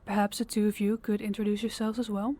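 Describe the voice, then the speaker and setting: Woman acting, female crime boss, medium pitch, authoritative, businesslike, composed, audio roleplay. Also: flat delivery, quick pace, warm tone, close to an everyday voice. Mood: businesslike, firm.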